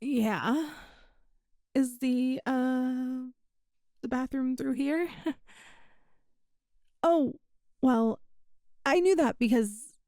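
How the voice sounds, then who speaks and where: A woman voicing a shy, hesitant young catgirl thief in an ASMR roleplay, speaking softly with stammers and little meows, close to the mic. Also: high voice, drawn-out words, pauses often, no room sound, heavily acted. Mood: relieved. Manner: soft, hesitant, stammering, meows between phrases. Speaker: voice actor.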